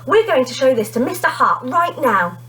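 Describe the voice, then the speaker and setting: A woman voicing an excitable, determined schoolgirl with a quick temper in a storybook. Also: sits high, voice pushed up. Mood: excited.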